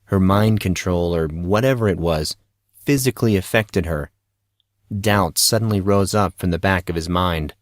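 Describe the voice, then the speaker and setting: A man's voice: sits low, normal speed, dry recording, clean studio sound. A man reading scripted fiction narration as the storyteller of an audio drama.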